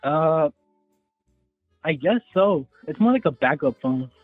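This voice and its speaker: Caller, young man in his twenties, higher-pitched, chatty and rambling, heard through a thin phone line. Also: lively intonation, takes it slowly, slightly rough, soft background music. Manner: rambling, hedging with "like". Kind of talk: casual phone call.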